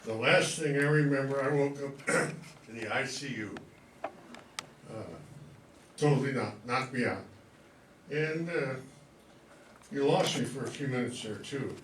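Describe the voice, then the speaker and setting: An older man with a medium-pitched voice gives an informal speech in short, halting phrases with a dry wit. He sounds some distance from the recorder, in an echoey room with faint noise. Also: fairly bright tone. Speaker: guest speaker, amateur writer and actor. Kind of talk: informal thank-you speech. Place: room before a live audience.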